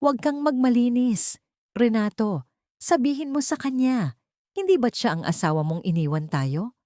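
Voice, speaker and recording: A woman's voice: a little high, animated, moderate pace, dry recording, studio quality, voice pushed up, natural voice. A woman voicing a haughty, angry old rich mother who hurls accusations in an audio drama. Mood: outraged. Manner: shouting accusations.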